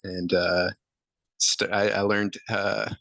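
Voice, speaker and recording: Deep gravelly-voiced male musician. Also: takes it slowly, dry recording. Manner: relaxed, rambling, many fillers. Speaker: musician guest. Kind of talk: casual interview answers.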